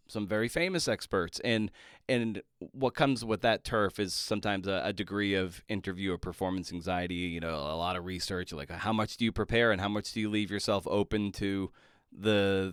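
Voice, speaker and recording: A man hosting an interview podcast, asking long conversational questions in a halting way, repeating words as he goes. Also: lowish voice, smooth, no room sound, studio quality. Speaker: podcast host interviewing an author.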